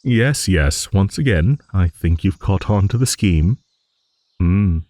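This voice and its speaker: A man's voice: sits low, expressive, slow pace, darkish tone, dry recording, heavily acted. A man playing a genial, nostalgic old dragon in an improvised fantasy monologue, musing and rambling with hums and hesitant asides.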